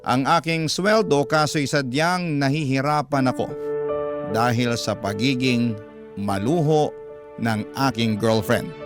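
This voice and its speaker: A man's voice: mid-range voice, measured pace. A man with a Filipino accent, narrating an emotional first-person story in radio drama style. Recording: music bed behind narration. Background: music.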